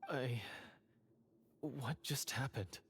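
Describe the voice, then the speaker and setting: A man's voice: medium pitch, drawn-out words, a bit bright, a little hiss or hum. A man playing a bitter, resentful hunter villain in a game, dramatic and aggrieved. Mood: dazed.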